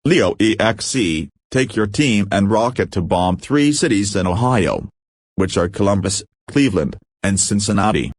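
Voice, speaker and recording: A man's voice: fairly low pitch, animated, normal speed, no room sound, studio quality. A man playing a gloating, menacing villain mastermind bent on revenge in an amateur fan-made drama. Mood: scheming, commanding. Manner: boastful, menacing. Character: gloating vengeful male villain mastermind with evil plans.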